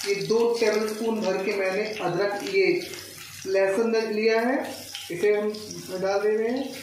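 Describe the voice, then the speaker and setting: Young male home cook, informal tutorial narration peppered with English words, heavy room echo, faint noise behind. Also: sits high. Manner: instructional, step by step, mixes English words into speech. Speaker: home cook, recipe host. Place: home kitchen, indoors. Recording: echoey, distant mic, faint kitchen noise.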